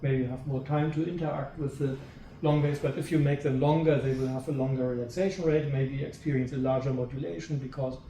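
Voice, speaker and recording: A man's voice: mid-range voice, fairly even intonation, fairly quick, warm tone, far from the mic. A male researcher in an audience, putting a long spontaneous academic question and repeating words as he reasons. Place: seminar room, away from the mic. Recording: echoey, off-mic with faint noise.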